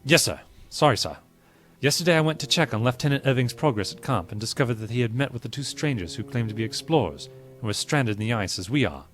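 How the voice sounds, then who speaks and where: An actor playing a dutiful, wary naval lieutenant in a period audio drama, speaking with formal military deference. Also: male voice, medium pitch, expressive, quick pace, fairly bright tone, faint music behind, close to an everyday voice. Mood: deferential.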